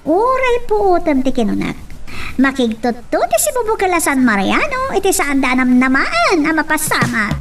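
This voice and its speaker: A woman's voice: sits high, very animated, moderate pace, over music. A woman acting a confident, scheming figure in an amateur Ilocano audio drama, with an Ilocano accent. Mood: conspiratorial, lively. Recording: distant mic with faint noise.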